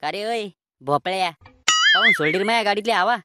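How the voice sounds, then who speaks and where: A woman voicing a gruff male village schoolteacher in a comedy cartoon, scolding and threatening in coarse rural Maharashtrian speech. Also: mid-range voice, normal speed, very noisy, close to an everyday voice. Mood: demanding.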